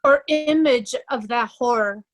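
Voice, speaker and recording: A woman's voice: a little high, a little slow, close to an everyday voice. A woman playing an anxious, caring young noble in a Shakespearean play reading. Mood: concerned.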